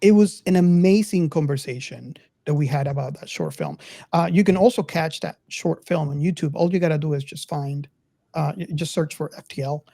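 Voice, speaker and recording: A man's voice: on the high side, moderate pace, vocal fry, mellow, dry recording. A man hosting a casual podcast discussion, speaking hesitantly and often repeating his words. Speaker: podcast host.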